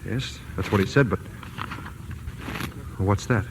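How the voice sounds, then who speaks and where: An actor playing a scheming, impatient young executive in an old-time radio drama, domineering and persuasive in manner. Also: male voice, on the low side, slow, noisy background. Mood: uneasy.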